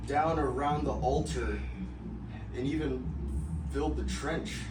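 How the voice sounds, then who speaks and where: A man's voice: mid-range voice, steady tone, measured pace. A young man giving a theatrical, emphatic narration of scripture, projecting his voice as in a drama. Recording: echoey room from far off, noisy.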